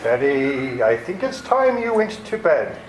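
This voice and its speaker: Casting: man to play menacing radio-play villain, theatrically courteous and bossy, animated melody, roomy sound with faint noise. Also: mid-range voice, a little slow. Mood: commanding. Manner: mock-courteous, commanding, theatrical. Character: menacing, scheming criminal nephew with a changed face. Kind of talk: 1940s-style radio theater acting. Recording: echoey room with faint noise.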